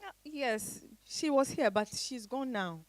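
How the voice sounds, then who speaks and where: A woman's voice: high voice, animated, a little slow, dry recording. A woman playing an emotional, frustrated married woman in a dramatized reading, speaking expressively and emphatically. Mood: subdued. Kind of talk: dramatized stage-style reading.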